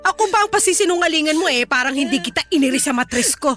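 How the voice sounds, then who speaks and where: A woman's voice: sits high, expressive, fast, fairly bright tone, soft background music. A woman acting as a blunt, scolding older mother in a Filipino radio drama, speaking with a Filipino accent. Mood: scolding, irritated. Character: blunt, nagging elderly Filipino mother scolding her daughter.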